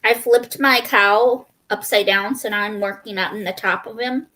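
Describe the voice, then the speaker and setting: A woman's voice: medium pitch, fairly even intonation, moderate pace, bright, forceful tone. A woman co-hosting a livestream in casual, chatty talk. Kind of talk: casual chat.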